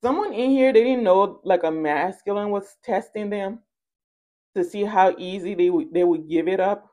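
A woman's voice: mid-range voice, moderate pace, dark tone. A woman hosting a casual tarot reading, talking in a playful storytelling way with slangy endearments. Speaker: tarot reader and channel host. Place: indoors at a card table.

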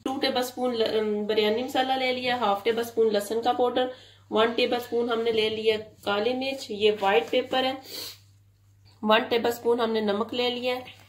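Flat-voiced woman, home cooking voice-over. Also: on the high side, moderate pace. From Pakistan. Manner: even, plain instructional tone, repeats "and see". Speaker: cooking channel host. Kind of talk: step-by-step recipe voice-over. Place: home kitchen. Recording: loud kitchen noise, frying and stirring. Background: sizzling and stirring in a pan.

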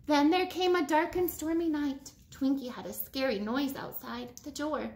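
A woman in her thirties reading a picture book aloud to children like a teacher, with expressive, emphatic phrasing. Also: high voice, moderate pace. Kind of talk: children's storybook read-aloud. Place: living room at home, indoors.

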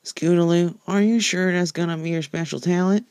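A man's voice: high-pitched, fairly even intonation, normal speed, darkish tone, clean tone, dry recording, clean studio sound, voice pushed up. A man voicing young, curious pony fillies in a chirpy, eager manner for a story reading. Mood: excited, curious.

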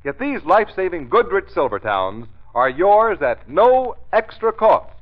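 A man's voice: high-pitched, sing-song, a little slow. A man announcing a 1930s radio advertisement in an emphatic, salesmanlike way. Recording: muffled 1930s radio recording.

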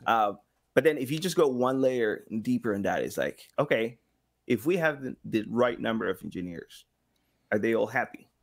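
A man's voice: mid-range voice, moderate pace, vocal fry. A man in his thirties chatting casually and expressively as an interview guest, often acting out little imagined exchanges. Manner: expressive, acts out imagined quotes. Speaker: podcast guest, engagement director and sound designer. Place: home room, indoors.

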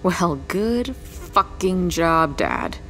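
A woman acting low-voiced, scornful homicide detective. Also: big pitch swings, extremely slow, a bit bright, a little hiss or hum, heavily acted. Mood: angry, scornful. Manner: stern, sarcastic, foul-mouthed. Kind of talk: audio drama acting.

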